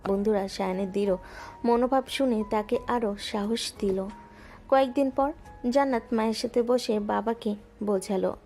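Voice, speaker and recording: A woman narrating a romantic audio story in a smooth, even storytelling voice. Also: a little high, moderate pace. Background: soft background music.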